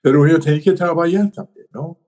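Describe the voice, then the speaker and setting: A man's voice: a little high, steady tone, fast, a little mellow. An older man, a podcast guest who tells his story hesitantly, with many fillers and tag questions. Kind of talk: conversational storytelling.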